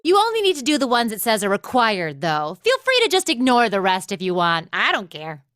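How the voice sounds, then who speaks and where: A woman's voice: fairly high pitch, huge pitch swings, fairly quick, a bit bright. A woman voicing a laid-back, teasing female instructor in a video game, with a breezy, nonchalant drawl. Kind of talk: English video game voice acting.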